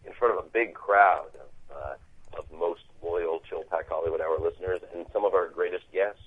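A very low-voiced man with a cold comes through a narrow, tinny phone line. He chats on air wryly, sounds a little worn, and rambles through strong opinions. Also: moderate pace, slightly rough. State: has a cold, near losing his voice. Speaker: co-presenter calling in. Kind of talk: casual radio chat.

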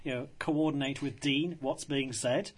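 A man's voice: medium pitch, steady tone, moderate pace, breathy edge. A man hosting a radio show, chatting in a jovial, rambling way and leaning on "of course.". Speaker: radio host. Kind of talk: casual radio chat.

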